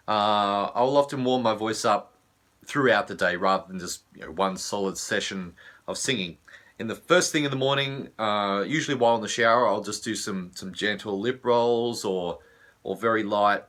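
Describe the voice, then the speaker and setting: A man with an Australian accent, a vocal coach whose voice is husky from laryngitis, explaining vocal care in a relaxed way with many fillers. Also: lowish voice. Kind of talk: teaching video.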